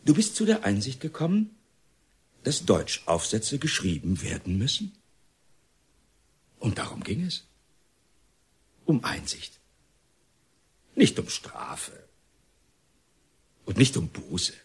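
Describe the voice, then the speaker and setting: An actor playing an excitable, pompous and paternal male reformatory director in a German radio drama, halting and declamatory. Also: mid-range voice, slightly rough, full character voice. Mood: jovial, lecturing.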